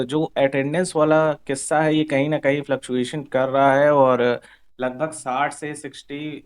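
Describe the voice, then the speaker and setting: A man presenting an informal explanatory update for exam candidates, repeating himself and mixing Hindi with English terms. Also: mid-range voice.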